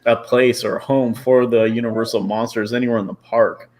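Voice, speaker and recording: A man's voice: mid-range voice, flat delivery, brisk, darkish tone. Enthusiastic man in his early thirties. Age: 30s. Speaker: podcast panelist. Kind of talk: casual chat.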